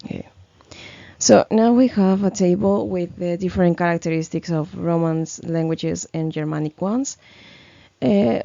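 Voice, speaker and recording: Young woman presenting for class while sick, croaky voice, slow and halting, muffled dry mic. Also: fairly low pitch, mellow, dry recording. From Ecuador. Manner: hesitant, many fillers and restarts. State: sick, slightly hoarse. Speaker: student presenter. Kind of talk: class presentation, read from notes.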